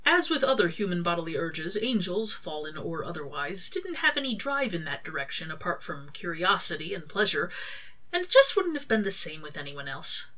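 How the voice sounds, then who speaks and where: A woman's voice: fairly low pitch, very animated, normal speed, pushed and bright. A woman narrating her own scripted fiction as an audiobook-style reading. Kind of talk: scripted story narration with acted dialogue.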